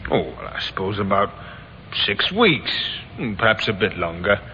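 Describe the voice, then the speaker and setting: An actor playing an evasive, apologetic warehouse manager in an old radio drama, speaking in a hesitant, sheepish way. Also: male voice, on the low side, sing-song, normal speed, sharp tone, heavily acted. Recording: dull, echoing, noisy old radio recording.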